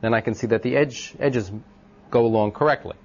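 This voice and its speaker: Loud-voiced male lecturer for an informal class talk, mid pitch, muffled old recording. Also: fairly quick. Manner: thinks aloud with many ums and restarts, asks the class questions.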